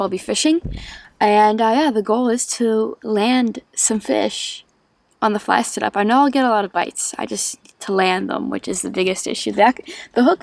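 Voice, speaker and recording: A young woman in a small boat out on a lake, chatting upbeat to her action camera. Her voice has a gravelly edge of vocal fry, and she laughs easily at herself between remarks. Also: mid-range voice. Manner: upbeat, chatty, laughs often, self-deprecating. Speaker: fishing vlogger. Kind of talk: casual vlog talk to camera. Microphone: action camera on chest or mount.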